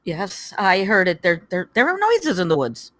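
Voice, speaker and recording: A woman's voice: fairly low pitch, huge pitch swings, normal speed. A woman playing a blunt, exasperated young hiker wife in a table read.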